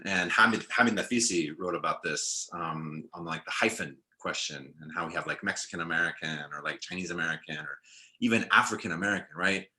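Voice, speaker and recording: A young man on a video call panel, talking in a relaxed, reflective way with many fillers and restarts. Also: low voice, brisk. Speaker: film director and panelist.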